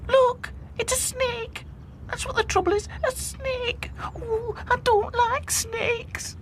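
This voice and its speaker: A man's voice: extremely high pitch, big pitch swings, brisk, fairly bright tone, slight background noise, pitched above the performer's own voice, heavily acted. A man voicing a timid, easily frightened female rag doll who shrieks.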